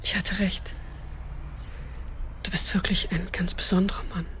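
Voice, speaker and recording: A woman's voice: fairly low pitch, flat delivery, measured pace, a bit bright, whispered. An actress playing a devoted, lovestruck woman, speaking tenderly and intimately in a radio drama. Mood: adoring.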